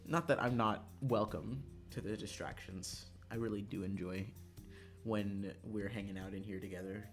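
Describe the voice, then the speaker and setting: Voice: sits low, fairly even intonation, moderate pace. A young adult streamer chatting casually over a visual novel in a playful, theatrical way. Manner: theatrical, playful, bursts into yelling and laughter. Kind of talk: casual livestream chat and visual novel read-aloud. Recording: music behind the voice.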